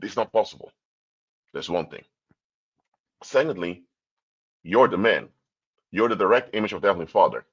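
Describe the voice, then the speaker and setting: A man's voice: low-pitched, expressive, moderate pace, long pauses, breathy edge, dull audio. A man from Curaçao, giving a livestream talk in a lecturing tone full of rhetorical questions. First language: Papiamento. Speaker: commentator. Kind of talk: livestream commentary.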